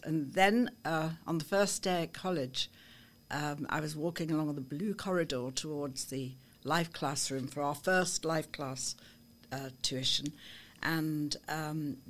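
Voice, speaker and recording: An older woman with a Liverpool accent, a podcast guest recalling her youth in a chatty, storytelling way with frequent pauses and ums. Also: low voice. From Liverpool, England. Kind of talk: reminiscing interview. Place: small office studio.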